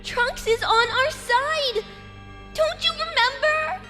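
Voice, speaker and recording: A woman's voice: extremely high pitch, takes it slowly, sharp tone, heavily acted. A woman playing a small, indignant, pleading child in an anime fan drama. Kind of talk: fan-made anime roleplay voice acting. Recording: music clearly behind the voice.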